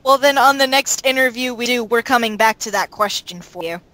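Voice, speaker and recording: Casting: woman, higher pitch, sharp bright tone, blunt casual talker, very dry sound. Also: fairly quick. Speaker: cast member in a group interview. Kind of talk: casual chat.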